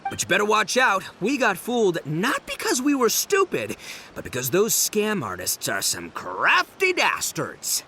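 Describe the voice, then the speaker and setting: A man voicing a brash, boisterous young male gang leader in a game, loud and slangy. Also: sits high, wildly swooping pitch, a little slow, a little hiss or hum, exaggerated voice. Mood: sincere. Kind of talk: game cutscene voice acting.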